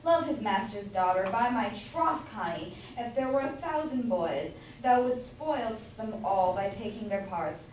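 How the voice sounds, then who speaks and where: A woman's voice: mid-range voice, animated, measured pace, few pauses, darkish tone, lightly acted. Woman playing a blustering husband, very echoey. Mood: blustering, doting. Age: young adult. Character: blustering, doting citizen husband. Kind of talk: amateur staged play reading. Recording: echoey hall from far off, noisy.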